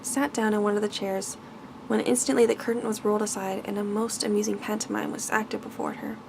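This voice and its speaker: Female ASMR storyteller, slightly high voice, noticeable creak, bedtime reading, clearly noisy recording.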